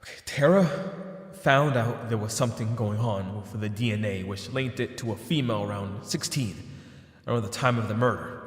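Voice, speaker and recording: A man's voice: mid-range voice, close to an everyday voice. An actor playing a haunted, guilt-ridden male vigilante investigator in an audio drama, speaking in stammers and sighs. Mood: troubled, thinking. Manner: halting, stammering, sighing. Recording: echoey long reverb with music behind.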